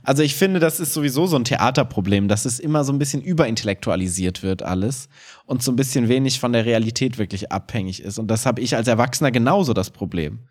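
A man's voice: medium pitch, fast talker, husky. Casual man in conversation. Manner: colloquial, opinionated, acts out short quotes. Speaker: podcast co-host. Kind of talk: casual podcast chat about children's theatre.

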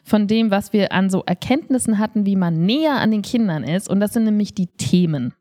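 Eine Frau in ihren Dreißigern spricht als Co-Moderatorin eines Podcasts locker, offen und nachdrücklich. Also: female voice, medium pitch, expressive, brisk, dark tone, no room sound, clean studio sound. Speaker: podcast co-host, improv performer. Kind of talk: casual conversational podcast talk.

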